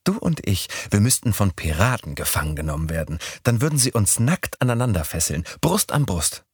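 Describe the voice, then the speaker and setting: A man voicing a bold, whimsical young woman in an audiobook, with a raised, lively, teasing lilt. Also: lowish voice, fairly quick, breathy edge, dry recording. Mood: playful. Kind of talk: audiobook character voice.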